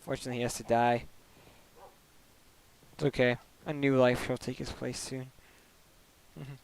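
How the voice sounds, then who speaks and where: A young man streaming a video game, chatting casually and rambling with lots of "like" fillers and quick laughs, into a desk microphone at home. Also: mid-range voice, level tone, vocal fry, clear, resonant tone, poor audio. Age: young adult. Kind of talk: casual chat while gaming.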